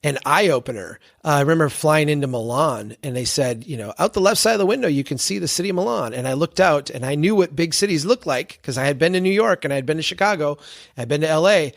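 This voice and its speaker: A man with an American accent and a fairly high voice speaks as a podcast guest. He explains his ideas reflectively, using examples and asking rhetorical questions along the way. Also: expressive, fast talker, vocal fry. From small town in central Minnesota, US. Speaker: podcast guest, engineer and planner. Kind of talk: explanatory interview talk with anecdotes.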